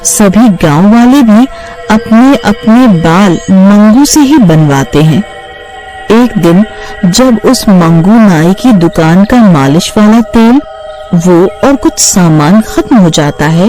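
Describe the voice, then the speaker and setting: A woman narrating a scripted children's horror story in a measured storybook manner. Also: mid-range voice, lively intonation, warm tone. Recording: music behind the voice.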